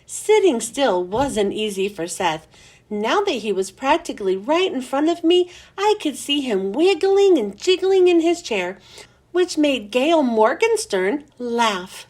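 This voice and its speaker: A woman reads a children's chapter book aloud with a high, bright voice. Her pitch swoops up and down in an expressive, sing-song storytelling manner. She keeps a steady read-aloud style. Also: a little slow.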